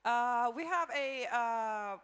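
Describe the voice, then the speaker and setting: A woman's voice: a little high, slow, fairly bright tone. A woman choreographer moderating a panel discussion. She talks in a searching way, building lists and restarting her phrases. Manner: thoughtful, searching with fillers and repeated lists.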